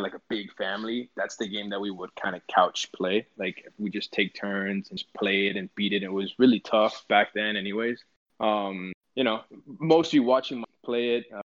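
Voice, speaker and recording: A man's voice: lowish voice, a bit bright. A man in his thirties from Peru, chatting loosely on a podcast and swearing casually. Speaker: podcast co-host. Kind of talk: casual chat. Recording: dull lo-fi sound.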